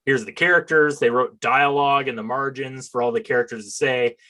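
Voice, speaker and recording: A man's voice: mid-range voice, fairly even intonation, a little fast, pushed and bright. A man hosting a casual interview in a chatty, self-deprecating, joking way. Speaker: interviewer, host and comics writer.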